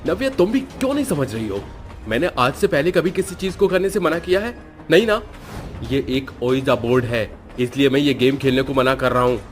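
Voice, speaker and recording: A man's voice: medium pitch, expressive, a little fast, soft background music, pitched above the performer's own voice, natural voice. A man playing a stern, short-tempered, worried father in a cartoon story, scolding and insistent.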